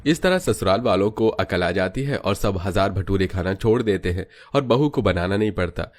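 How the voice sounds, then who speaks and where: A man's voice: low-pitched, animated, moderate pace. A man narrating a scripted cartoon story as its storyteller.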